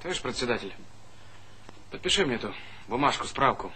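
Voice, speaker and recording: A man's voice: mid-range voice, very animated, fairly quick, bright, forceful tone, a little hiss or hum, poor audio. An actor playing a stubborn, simple village man with a blunt, indignant manner in a Soviet stage comedy. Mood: insistent.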